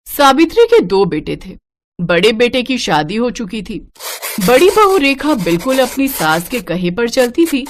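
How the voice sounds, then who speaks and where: A woman narrating a scripted cartoon family story in an expressive storytelling manner. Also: on the high side.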